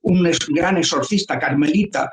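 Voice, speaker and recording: A man in his seventies, a priest, giving earnest, measured explanations in an interview. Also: a little high. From Spain. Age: 70s. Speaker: priest and guest. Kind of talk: interview answers. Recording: echoey room.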